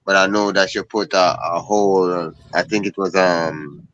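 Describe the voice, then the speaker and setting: A man on a video call asking about a trust, halting and restarting his phrases. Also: low voice, steady tone, fairly bright tone, no echo, highs cut off. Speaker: workshop participant. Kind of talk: casual video-call question.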